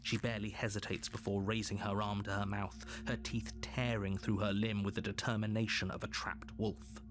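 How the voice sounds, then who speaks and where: An actor playing a stern, sardonic game narrator with formal, deliberate delivery. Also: male voice, fairly low pitch, level tone, lightly acted. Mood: ominous. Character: stern, dry male narrator urging a killing. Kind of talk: scripted fairytale game narration. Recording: clear music bed behind.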